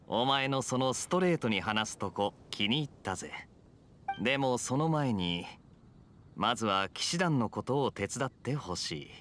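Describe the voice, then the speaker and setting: An actor playing a suave, teasing young knight officer in a video game, casually confident. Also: male voice, medium pitch, lively intonation, measured pace, a bit bright, a little hiss or hum. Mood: cool, amused.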